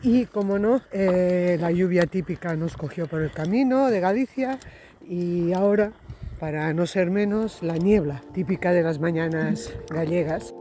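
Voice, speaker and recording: A woman's voice: fairly low pitch, measured pace, warm tone. Reflective woman on a trail vlog. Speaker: vlogger walking the pilgrimage trail with her cousin. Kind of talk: casual travel vlog narration. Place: outdoors on a walking trail.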